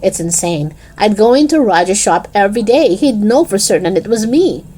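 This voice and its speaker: A woman's voice: medium pitch, lively intonation, normal speed, mellow, slight background noise, close to an everyday voice. A woman voicing a hesitant, nervous twelve-year-old boy in a storybook, reluctant and doubtful in manner.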